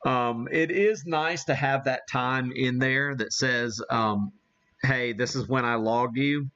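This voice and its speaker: Folksy american guy thinking out loud on a livestream, takes his time, kinda dull audio. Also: mid-range voice, fairly even intonation, slow, smooth. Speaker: host demonstrating amateur radio live. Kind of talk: casual live-stream chat while operating.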